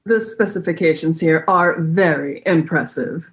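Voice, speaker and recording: A woman's voice: sits low, a little slow. A woman playing a cold, suspicious military aide in a comedy sci-fi radio play, speaking in a clipped, formal, probing way. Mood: cool, probing.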